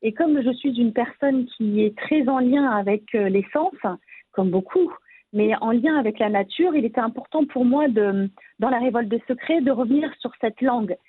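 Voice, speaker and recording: A woman's voice: fairly high pitch, fairly quick, husky, no echo. A woman from France of Moroccan Berber family, an author answering interview questions thoughtfully, with frequent hesitations. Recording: tinny phone-like remote line.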